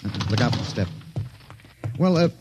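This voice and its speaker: An actor in a 1950s radio drama playing the last man on Earth, wry and gentle, with a dry, teasing, musing delivery. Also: medium pitch, lively intonation, normal speed, lightly acted. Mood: wryly amused. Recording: muffled, hissy 1950s radio recording, long reverb.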